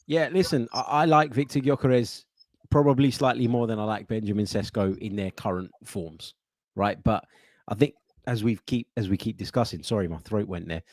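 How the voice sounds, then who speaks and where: Man, lowish voice, dry close sound, brisk chatty host. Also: darkish tone, no echo. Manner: brisk, chatty, calls people mate. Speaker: podcast host taking callers. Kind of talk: casual call-in football chat.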